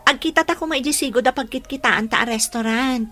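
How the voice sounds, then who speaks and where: High-voiced young Ilocano woman in love. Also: fairly quick, bright, forceful tone, faint music behind. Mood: sweet, playful. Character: young woman in love, trusting and anxious girlfriend. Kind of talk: Ilocano radio drama acting.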